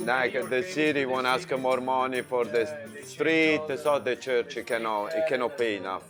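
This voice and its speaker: A man's voice: medium pitch, moderate pace, over music. A middle-aged man with an Italian accent, a chef and co-owner chatting casually, chiming in with short remarks. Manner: terse interjections, chiming in. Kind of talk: casual interview chat.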